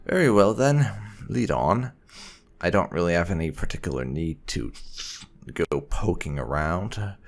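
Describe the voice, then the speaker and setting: A man's voice: sits low, animated, unhurried. A man hosting a let's-play, giving casual, musing commentary as he plays. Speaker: gaming channel host.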